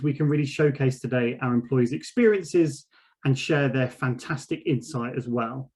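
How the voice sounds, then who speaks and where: A man's voice: mid-range voice, a little mellow. A man hosting a company webinar, giving formal, scripted welcome remarks. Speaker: webinar host.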